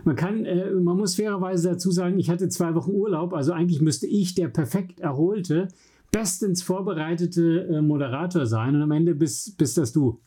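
A man's voice: high-pitched, normal speed, mellow, slightly rough. A man with a German accent co-hosting a podcast in casual chat from a home studio, with a joking, self-deprecating manner. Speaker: podcast co-host.

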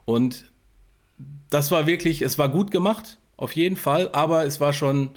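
A man's voice: fairly high pitch, measured pace. A man hosting a casual interview, feeling his way through his sentences with self-corrections. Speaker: podcast host and interviewer.

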